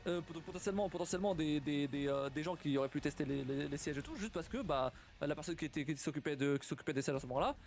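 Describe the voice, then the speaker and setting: A young man with a high voice talks casually on a live stream. He is halting and repeats words as he goes, laughing often. Faint music plays in the background. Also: darkish tone. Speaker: streamer reacting to stories.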